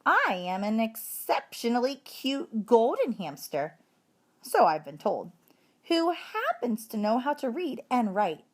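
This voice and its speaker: Storybook hamster hero, read by a woman: proud, eager, chatty little fellow, very lively delivery. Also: mid-range voice. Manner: chatty, self-important. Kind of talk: children's storybook read-aloud.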